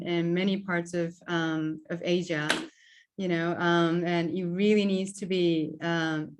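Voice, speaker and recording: A woman hosting an online event, introducing speakers and thanking them, with frequent fillers. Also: lowish voice. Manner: hesitant with fillers. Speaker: event host.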